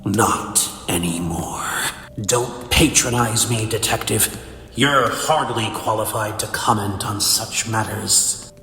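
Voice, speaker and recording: A man's voice: lowish voice, slow, vocal fry, pushed and bright, slight background noise, exaggerated voice. A man playing a cold, bitter, cerebral supervillain in a comic dub, speaking in a theatrical, condescending, verbose manner. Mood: contemptuous. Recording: echoey hall reverb, faint music behind.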